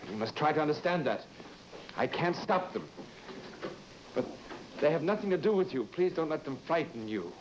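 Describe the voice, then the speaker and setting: An actor playing an anxious, secretive married lawyer in a vintage broadcast drama, speaking in a halting, pleading, evasive way. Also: male voice, a little high, big pitch swings. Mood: strained, pleading. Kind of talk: vintage mid-century broadcast drama acting. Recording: dull, noisy vintage broadcast recording.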